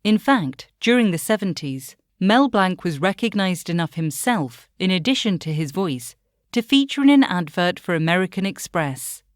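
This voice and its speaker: A woman's voice: on the low side, very animated, moderate pace, no room sound, studio quality. A woman narrating a scripted documentary as the host.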